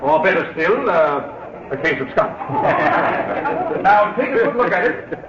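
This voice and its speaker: An actor playing a jolly charity auctioneer with showy sales patter, in 1940s radio acting. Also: male voice, high voice, sing-song, slow pace, heavily acted. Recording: echoey dull old radio recording with crowd.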